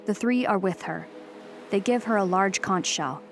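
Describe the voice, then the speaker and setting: A woman with a medium-pitched voice narrates an audio drama. She reads scripted stage directions with music clearly present behind her. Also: moderate pace.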